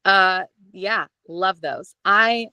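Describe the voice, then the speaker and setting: A woman audiobook narrator chatting as an interview guest, expressive and self-deprecating, with a casual, animated way of talking. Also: medium pitch, drawn-out words, smooth, no echo, lo-fi sound.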